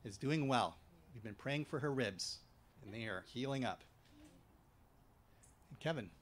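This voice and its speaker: A middle-aged male pastor leads an outdoor worship service, speaking into a stand microphone at a lectern. His delivery is measured and gentle, and his voice sits at an ordinary pitch. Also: animated. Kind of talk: leading outdoor worship and prayer. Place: outdoors at a church entrance. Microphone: stand mic at a lectern.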